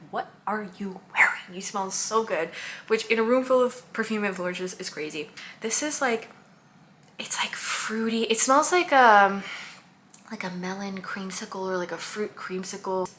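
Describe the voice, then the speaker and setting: A woman's voice: mid-range voice, a bit bright, a little hiss or hum. A woman chatting casually about perfumes she bought, gushing and listing scent notes with lots of fillers. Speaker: perfume content creator. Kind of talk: casual haul review.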